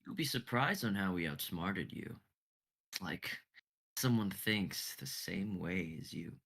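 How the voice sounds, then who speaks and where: A gloating villain boss, put on by a man, very low-pitched and sharp. He taunts with menace, like the leader of assassins in an amateur dramatic reading. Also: expressive, measured pace, creaky, slightly rough, exaggerated voice. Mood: gloating, taunting.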